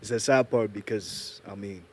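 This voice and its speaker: A man's voice: fairly low pitch, normal speed, a little mellow, breathy edge. A man from Ghana, a panel guest speaking hesitantly with many fillers in a casual group chat.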